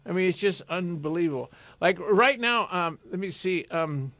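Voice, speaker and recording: A middle-aged man hosting a radio talk show in a studio, talking loudly and forcefully with jokes. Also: high voice, lively intonation, normal speed, dry recording. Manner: loud, forceful, joking.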